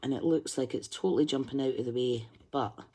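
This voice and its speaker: A woman's voice: rumbling low, fast talker, mellow, husky. A woman hosting a craft tutorial, chatting and thinking aloud as she colours, humming to herself while she concentrates. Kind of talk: live colouring demonstration.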